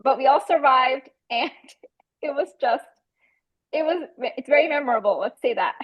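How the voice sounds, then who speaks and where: A woman's voice: high-pitched, lively intonation, moderate pace. An American woman around her thirties or forties, telling an anecdote casually as a podcast guest, on slightly dull audio. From United States.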